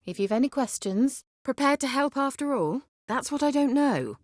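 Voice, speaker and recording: A woman's voice: sits high, lively intonation, moderate pace, dry recording, studio quality. A woman playing a haughty, sly sorceress in a fantasy video game, articulate, wry and teasing. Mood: explaining, irritated.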